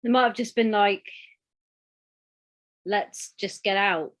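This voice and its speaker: A woman's voice: medium pitch, slow. A woman in a casual chat, skeptical and teasing, laughing often. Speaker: podcast co-host.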